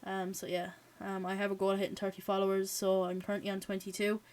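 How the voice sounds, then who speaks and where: A chatty woman with an Irish accent, hosting a live stream and reacting to a match as it plays. Her voice sits at a middle pitch for a woman. She talks loosely, pads her sentences with "um" and "yeah", and stops often to thank viewers. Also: completely flat delivery, fast, darkish tone. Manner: chatty, with frequent "um" and "yeah" fillers; reads chat aloud and thanks viewers. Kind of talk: live watch-along chat and match reactions.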